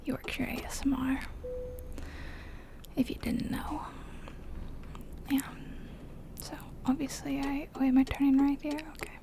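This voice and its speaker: A woman's voice: high voice, little pitch movement, a little slow, pauses often, noisy background. A woman whispering her way through a game in a chatty, rambling ASMR style. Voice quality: breathy unvoiced whisper. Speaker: ASMR gaming creator. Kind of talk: whispered ASMR gameplay commentary.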